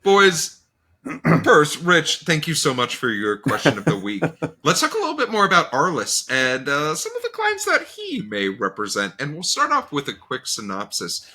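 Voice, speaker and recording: A man with a very bright, forceful voice hosts a comedic podcast. His pitch moves around in a lively way as he talks casually and animatedly, free to curse. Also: moderate pace. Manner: animated, informal, profane. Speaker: podcast host.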